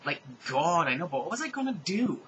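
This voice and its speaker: Man putting on a shrill bratty teenage girl. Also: very animated, brisk, fairly bright tone, muffled recording, a little hiss or hum. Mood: whiny defensive.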